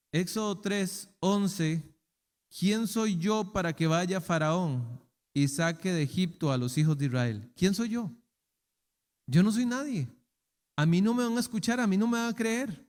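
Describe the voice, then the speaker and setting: A man's voice: high voice, darkish tone. A middle-aged man preaching a sermon as pastor, often asking rhetorical questions and acting out imagined excuses. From Costa Rica. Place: church chapel. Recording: long reverb of a church hall.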